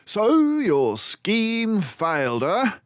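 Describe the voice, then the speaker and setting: Storybook mouse sleuth, male reader acting: gentlemanly, formal, pitched well up, slow declamatory delivery. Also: wildly swooping pitch, no room sound, exaggerated voice. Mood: smug, teasing. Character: stern, calm, gentlemanly male mouse detective hero.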